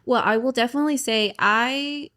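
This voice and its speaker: A woman's voice: fairly high pitch, moderate pace, sharp tone. A young woman from Mexico, a shop owner talking as a podcast guest in a casual, chatty way full of "like" and "you know".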